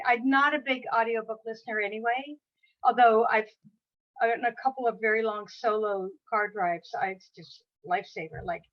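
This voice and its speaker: Chatty woman in her 60s, kinda high voice, rambles and restarts a lot, muffled lo-fi video call sound. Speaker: guest author. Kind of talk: casual conversation. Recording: dull lo-fi audio.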